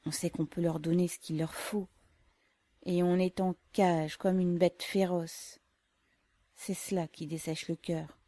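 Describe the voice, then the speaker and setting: A woman's voice: lowish voice, a little slow, dry recording. A woman reading as a stern, austere young woman. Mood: weary. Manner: calm, austere.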